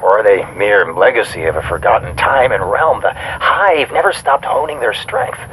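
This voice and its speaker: Pondering, scholarly warlock. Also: male voice, lowish voice, expressive, normal speed, a bit bright, slight background noise, low-quality recording. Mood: musing. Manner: pedantic, pondering, formal. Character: scholarly, musing male warlock recording a journal. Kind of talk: video game voice acting, recorded log.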